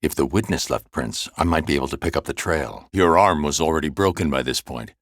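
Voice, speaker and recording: A grim, brooding vigilante detective for a game, with a voice that sits extremely low and rumbles. He talks in a clipped, methodical way, recorded close and dry in a studio. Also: lively intonation, a little fast, dry recording. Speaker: video game character. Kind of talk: game voice acting. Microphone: close studio mic.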